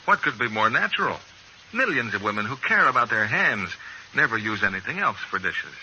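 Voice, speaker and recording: Male announcer, lively pitch, sharp projected tone, scripted 1940s commercial style, old radio sound. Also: a little fast. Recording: bright, noisy old radio recording.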